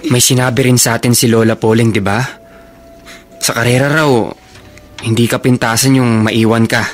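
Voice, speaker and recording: Guy acting filipino big brother, college age, medium pitch, steady and comforting, radio drama. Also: moderate pace, close to an everyday voice. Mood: firm, encouraging. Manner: reassuring, firm.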